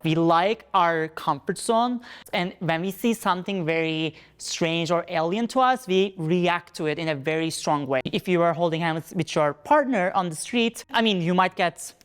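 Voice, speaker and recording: A man's voice: sits high, moderate pace, fairly bright tone, clean tone. A man from Turkey, explaining earnestly in a casual group discussion. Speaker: panel guest.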